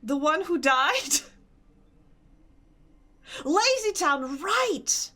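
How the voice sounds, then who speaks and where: Young woman, Polish accent, animated sing-song delivery, crisp bright tone, chatty game streamer. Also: very high voice, very slow. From Poland. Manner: chatty, laughs often, reads and answers chat. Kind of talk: casual gaming stream chat.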